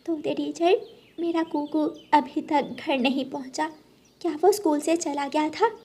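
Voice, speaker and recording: A woman's voice: high voice, fairly even intonation, measured pace, dark tone, pitched above the performer's own voice. A woman playing a worried, emotional mother sparrow in a cartoon story, with an anxious, pleading way of talking.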